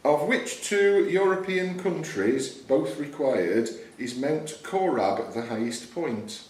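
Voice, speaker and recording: A man's voice: a little high, lively intonation, takes it slowly, a little mellow. A man acting as quizmaster, reading quiz questions aloud to a live room in a club. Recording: roomy, distant microphone, faint background noise.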